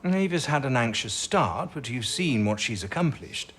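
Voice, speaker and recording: An actor with a British accent playing a stubborn, ailing wartime codebreaker in a radio drama, husky, terse and blunt. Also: male voice, mid-range voice, sing-song, moderate pace, a bit bright. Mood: insistent, probing.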